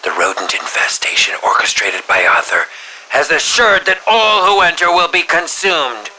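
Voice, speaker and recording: An actor playing a pompous, calculating villain who savors horror, delivering an ornate, theatrical and deliberate monologue for a video game. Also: male voice, a little high, sing-song, sharp tone, exaggerated voice, low-quality recording. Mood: grim musing. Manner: ornate, deliberate, theatrical, breathy dips into near-whisper. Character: sinister, pompous male filmmaker villain, coolly calculating connoisseur of horror.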